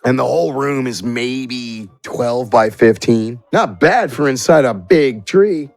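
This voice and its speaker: A man's voice: medium pitch, slow pace, creaky, dry recording, soft background music. A man running a tabletop roleplaying game as game master, improvising narration in an informal, conversational way.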